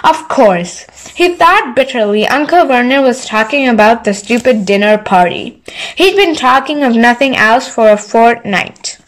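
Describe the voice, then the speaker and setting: A woman's voice: on the high side, expressive, measured pace, rough recording. A woman reading a children's story aloud as scripted narration. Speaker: audiobook reader.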